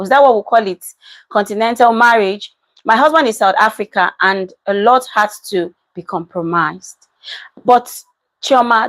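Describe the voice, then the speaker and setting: A woman, giving casual reaction commentary and reading out viewer comments in an emphatic way that repeats points. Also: mid-range voice. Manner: animated, emphatic, repeats herself. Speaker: commentary vlogger.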